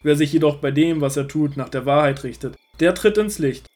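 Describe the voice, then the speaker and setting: An actor playing an earnest, authoritative male teacher in a scripture audio play, speaking in measured, emphatic declarations. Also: fairly high pitch, darkish tone, close to an everyday voice. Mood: solemn, emphatic.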